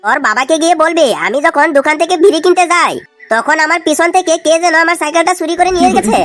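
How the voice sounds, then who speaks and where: A woman's voice: very high-pitched, fast, over music. A woman voicing a cheeky, wheedling young slacker lad in a comedy cartoon. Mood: coaxing. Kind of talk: amateur comedy cartoon dialogue.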